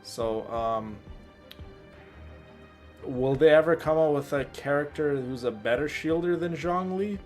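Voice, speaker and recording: A man giving casual gameplay commentary as a streamer, explaining things informally and haltingly. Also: on the high side, faint music behind. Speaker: game streamer.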